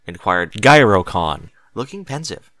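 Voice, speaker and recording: A man's voice: lowish voice, big pitch swings, measured pace, sharp tone. A man reading a story aloud as scripted narration, with dramatic, emphatic delivery. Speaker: audiobook narrator of fan fiction.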